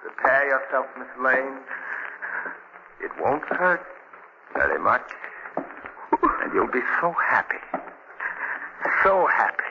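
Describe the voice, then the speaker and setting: An actor playing a deranged, vengeful killer with menacing, theatrical, mock-soothing delivery in a 1940s radio drama. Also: male voice, mid-range voice, creaky. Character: gloating deranged male killer, vengeful villain with poison. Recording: dull, hissy old radio recording with clicks.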